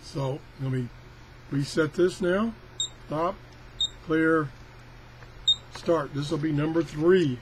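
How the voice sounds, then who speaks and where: Older guy doing a casual product demo, kinda high voice, rambly and folksy, some noise in the recording. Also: slow pace, long pauses, a little mellow. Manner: rambling, folksy, self-deprecating grumbling. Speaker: product reviewer. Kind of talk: casual hands-on product demonstration. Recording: clearly noisy amateur recording.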